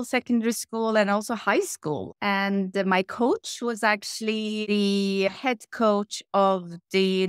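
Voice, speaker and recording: A middle-aged woman from Iran who grew up in Norway, answering interview questions in a lively, reflective way and listing things in runs. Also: medium pitch, clear, resonant tone, no room sound, clean studio sound. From Iran, raised in Norway. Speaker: interview guest, tech executive.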